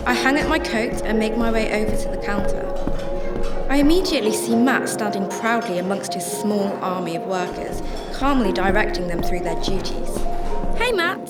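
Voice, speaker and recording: A woman's voice: a little high, recorded from a distance, natural voice. A woman playing a compassionate, troubled homeless shelter volunteer, narrating and speaking in a radio drama. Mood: warm, tentative. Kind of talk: first-person radio drama narration and dialogue. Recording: roomy sound with music behind.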